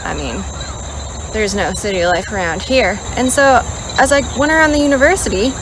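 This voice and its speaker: A young woman in her twenties vlogging outdoors, telling a story in a chatty, animated way and acting out quotes. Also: medium pitch, normal speed, background noise. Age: 20s. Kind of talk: casual storytelling vlog. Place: outdoors in a rural area. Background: insects and crickets chirping.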